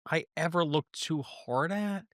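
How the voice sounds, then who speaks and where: A man co-hosting a podcast, casually reviewing and discussing, with animated, halting delivery and emphatic repetition. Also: a little high, no room sound, clean studio sound. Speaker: podcast co-host. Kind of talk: casual review discussion of a sci-fi audio drama.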